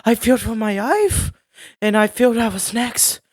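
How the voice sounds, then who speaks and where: A man playing a shaken dollar store manager who recounts a robbery in a deadpan way. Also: extremely high pitch, slow, no echo, studio quality, heavily acted. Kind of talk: amateur audio drama acting.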